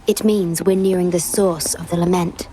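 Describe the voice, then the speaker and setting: An actress playing a gentle, solemn guardian with a measured, soft delivery in a video game. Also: female voice, lowish voice, fairly even intonation, a little hiss or hum, close to an everyday voice.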